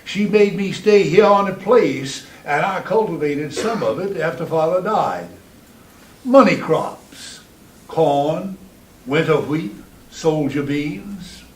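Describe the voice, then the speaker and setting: A man's voice: a little high, animated, slow pace. A man playing a bitter, sardonic Southern farmer from a fallen planter family in a stage monologue, with a dry, folksy drawl and a Southern US accent. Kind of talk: theatrical monologue reading. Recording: roomy, distant microphone in hall.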